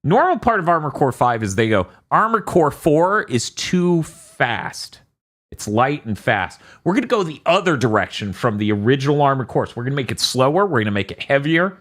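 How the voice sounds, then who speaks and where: Lively animated male podcast host. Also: medium pitch, moderate pace. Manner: animated, swearing, storytelling. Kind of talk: casual gaming podcast chat.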